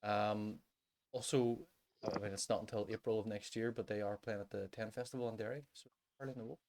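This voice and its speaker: A man with a Northern Irish accent chatting casually as a music podcast host, in a halting way that circles back to stress his points. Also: fairly low pitch, steady tone, a little mellow. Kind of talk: casual chat.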